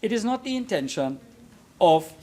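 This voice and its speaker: A man speaking as a member of parliament in a formal debate, making his points emphatically and deliberately and repeating phrases for weight. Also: high-pitched, sing-song, warm tone. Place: parliament chamber.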